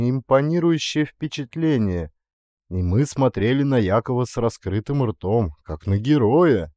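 Male audiobook reader, middle-aged, mid-pitched, expressive literary delivery, dry studio mic. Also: no echo. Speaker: audiobook narrator and actor. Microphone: close studio condenser mic with pop filter.

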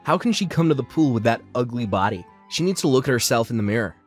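A man's voice: mid-range voice, animated, quick pace, faint music behind, natural voice. An actor voicing brash, jeering young male toughs in a manga dub. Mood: mocking. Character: rowdy, mocking teenage boys and young thugs. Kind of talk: manga dub character acting.

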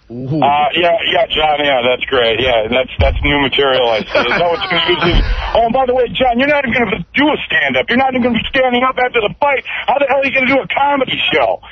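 Male radio guest, combative trash-talker, rapid and husky, tripping on words, dull lo-fi line. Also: high-pitched, lively intonation. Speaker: radio show guest, amateur fighter. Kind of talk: trash-talking radio banter. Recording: dull lo-fi radio phone audio.

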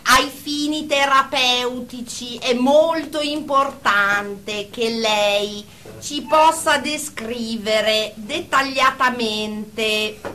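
An actress playing a firm, formal psychotherapist in a radio drama, measured and admonishing as she leads group therapy. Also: fairly high pitch, steady tone, sharp tone, slight background noise, heavily acted. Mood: stern, measured. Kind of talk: Italian radio drama acting.

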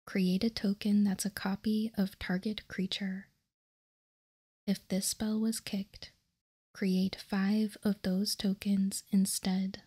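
A woman doing whispered ASMR in a soft, breathy, unvoiced whisper, reading out card text calmly and deliberately, close to the microphone. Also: medium pitch, dry recording. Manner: slow, deliberate, calm reading. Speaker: ASMR video host. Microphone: close microphone, dry sound.

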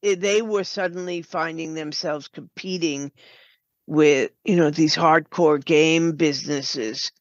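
An older woman answers in an interview at a very slow, halting pace. Her voice is on the low side for a woman. The recording is a bit dull and lo-fi. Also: fairly even intonation, dry recording. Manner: halting, deliberate. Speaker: guest, game writer and producer. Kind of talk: conversational interview. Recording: slightly dull, lo-fi sound.

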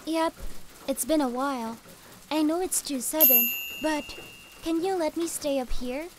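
A woman's voice: high voice, unhurried, noisy background. A woman playing a guarded, nonchalant university student niece in a story, with an offhand manner. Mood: matter-of-fact. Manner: flat, offhand. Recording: music behind the voice.

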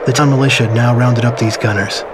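An actor playing a wistful man recalling his wartime youth, in measured, reflective, sombre scripted narration for a video game. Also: medium pitch, steady tone, heavy background noise, close to an everyday voice. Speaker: story narrator. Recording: loud music under voice. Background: music.

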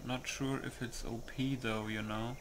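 A man's voice: mid-range voice, little pitch movement, unhurried, background noise. A man giving casual gameplay commentary, thinking aloud and muttering hesitantly to himself. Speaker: gamer hosting a playthrough. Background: game music.

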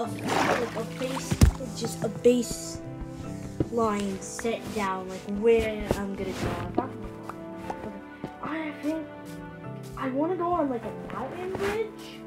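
A woman giving casual let's play commentary, rambling and thinking aloud to her viewers. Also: a little high. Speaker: gaming video host. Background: background music.

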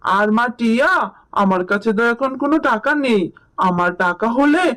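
A performer voicing a gentle, reassuring animal father in a children's cartoon, speaking in a soothing, earnest manner. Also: mid-range voice, lively intonation, moderate pace. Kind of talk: Bengali children's cartoon voice acting.

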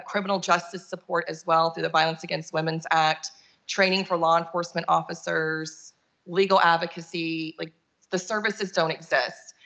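Woman doing a keynote on a video call, kinda low voice, bright, earnest, chatty. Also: rough recording. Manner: conversational, earnest, with fillers. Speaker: keynote speaker, nonprofit chief executive.

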